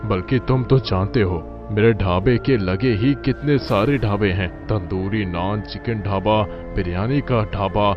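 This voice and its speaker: A man's voice: fairly low pitch, lightly acted. A man playing a humble, honest village eatery owner in a cartoon, speaking mildly and earnestly. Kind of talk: cartoon village tale acting. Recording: dull lo-fi track with music.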